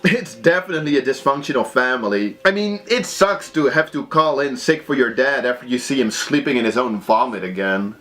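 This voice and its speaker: A young Belgian man whose first language is Dutch, casually recommending movies in a halting, animated way with frequent restarts. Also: mid-range voice.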